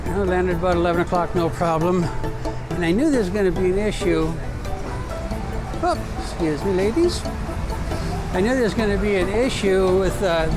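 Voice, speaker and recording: A man's voice: high voice, rough recording. A man hosting a casual travel vlog, talking to viewers in a chatty, digressive, rambling way. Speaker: travel vlogger and host.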